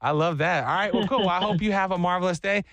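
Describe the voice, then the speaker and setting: A man's voice: high voice, fast talker, a bit bright, no room sound. A man hosting a morning radio show, bantering playfully and joking about himself. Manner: joking, self-mocking, sings jingles. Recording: music bed under the voice.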